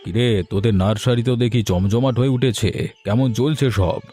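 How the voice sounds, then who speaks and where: Bright-voiced fatherly village headman by a man. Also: mid-range voice, normal speed, close to an everyday voice. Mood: pleased, benevolent. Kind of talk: cartoon moral story voice acting. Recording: music under the voice.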